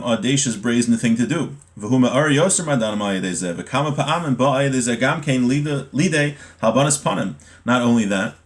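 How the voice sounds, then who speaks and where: A man teaches a class on a religious text in a mid-pitched voice. He reads passages, then explains them in informal terms, sometimes halting or repeating a word to stress it. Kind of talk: explanatory lesson, reading Hebrew text then translating.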